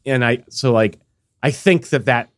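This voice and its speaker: A man co-hosting a casual podcast chat, congested and tired, talking in a rambling, halting way with many fillers. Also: medium pitch, no room sound. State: congested, recovering from illness. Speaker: podcast co-host.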